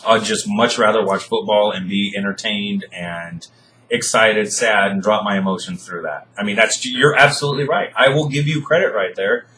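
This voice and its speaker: A man in his thirties with a bright, firm tone, caught in a relaxed argument. He stops and restarts his sentences, gives ground, then pushes back. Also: fairly low pitch, poor audio. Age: 30s. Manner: halting, searching for words, conceding then pushing back. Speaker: co-host debating. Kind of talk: casual argument.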